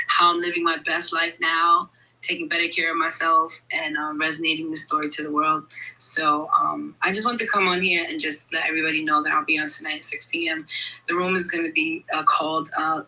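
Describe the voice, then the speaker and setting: Husky bright-voiced woman from Brooklyn. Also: sits low, rough recording. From Brooklyn, New York. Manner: eager, halting, many fillers. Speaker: guest caller. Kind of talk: personal testimony.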